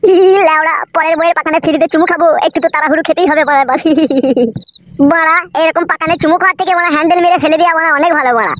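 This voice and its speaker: A man voicing a cheeky, foul-mouthed young male troublemaker in a comedy cartoon, with a pitched-up voice. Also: fairly even intonation, racing, a bit bright. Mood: cheeky, breathless. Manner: crude, slangy, complaining.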